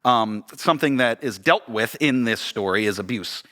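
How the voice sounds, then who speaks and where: A man's voice: mid-range voice, animated, normal speed, a bit bright. A man co-hosting a casual podcast chat, informal and affirming in the way he talks. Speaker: podcast co-host and director. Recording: reverberant room sound.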